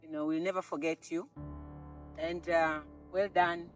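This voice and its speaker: A man with a Swahili accent, a native Swahili speaker, speaking slowly and with feeling at a memorial spot outdoors. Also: a little high, flat delivery, a bit bright, faint music behind. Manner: slow, halting and heartfelt. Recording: distant from the mic, outdoors.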